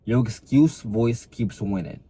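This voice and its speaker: A man's voice: mid-range voice, a little slow, dark tone, a little hiss or hum. A young adult man talking casually to camera as a fitness coach, earnest and motivating, often repeating and restarting words.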